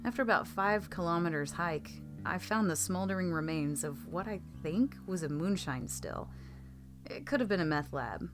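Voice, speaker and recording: A woman's voice: on the low side, lively intonation, normal speed, over music. A woman reading creepy first-person stories aloud as a narrator, in scripted storytelling style. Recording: clearly noisy background.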